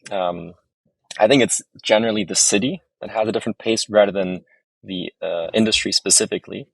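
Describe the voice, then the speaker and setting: Deep, slightly creaky male interview guest. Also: a little fast, dry recording, poor audio. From Germany, raised abroad in several countries. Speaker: podcast guest, startup founder. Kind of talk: conversational interview answers.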